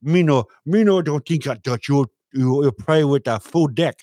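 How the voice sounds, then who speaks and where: A man voicing a scolding male heckler who speaks broken English, pitched up. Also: slow pace, vocal fry, dry recording, clean studio sound. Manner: high, mocking, broken English.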